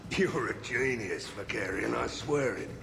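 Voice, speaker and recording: A very gravelly, grumbling mercenary. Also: male voice, mid-range voice, expressive, measured pace, music in the background. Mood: conspiratorial, approving. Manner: blunt, sardonic, grumbling. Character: gruff, suspicious, grizzled male mercenary. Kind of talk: video game character acting.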